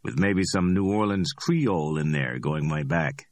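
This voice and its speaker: A man's voice: deep voice, unhurried, no echo. A man voicing a matter-of-fact middle-aged bail bondsman.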